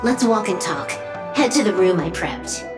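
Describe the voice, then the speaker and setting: A woman's voice: medium pitch, animated, moderate pace, music in the background, lightly acted. A woman playing a pragmatic young female operative in a video game cutscene, with a dry, blunt, matter-of-fact delivery. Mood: blunt, businesslike. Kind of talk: dramatic game cutscene acting.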